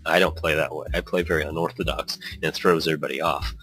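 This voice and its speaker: Male let's-play host, very low and crisp-edged, casual rambling commentary mixed with story narration over soft background music. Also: fairly even intonation, fast. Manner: rambling asides. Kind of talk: casual commentary and reading visual novel text aloud.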